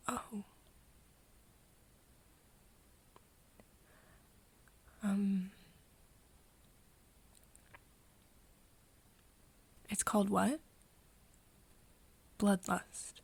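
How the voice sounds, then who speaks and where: A woman acts out a hesitant, tender young woman in an ASMR roleplay drama. Her breathy voice crackles with fry and often sinks to a whisper. She talks slowly and haltingly, as if to a close friend. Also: medium pitch, no room sound, full character voice. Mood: puzzled, hushed. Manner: halting, hesitant, with nervous giggles. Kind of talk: soft ASMR roleplay acting addressed to the listener.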